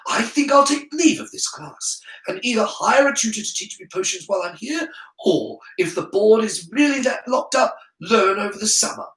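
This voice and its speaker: A man voicing a proud, stubborn, defiant schoolboy in a story, speaking with deliberate emphasis. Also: sits high, lively intonation, fairly bright tone, voice pushed up. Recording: roomy echo.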